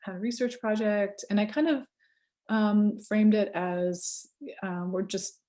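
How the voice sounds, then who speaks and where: A woman's voice: medium pitch, steady tone, unhurried, dark tone. A woman with a Californian accent, talking casually as an interview guest, chatty with lots of fillers, restarts and easy laughter. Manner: chatty, many fillers and self-corrections, laughs easily. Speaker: guest researcher.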